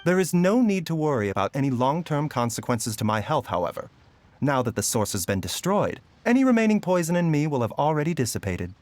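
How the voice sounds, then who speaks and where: A man voicing a calm, gentle young doctor who speaks in a measured, reassuring way. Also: a little high.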